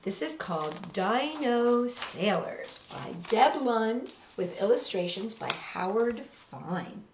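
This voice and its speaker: Slow-paced woman reading a children's story. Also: on the high side, noisy background. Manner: measured, expressive reading with emphasized rhymes. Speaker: storytime host reading picture books. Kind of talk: read-aloud storytelling with asides to children. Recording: roomy echo, slightly dull sound.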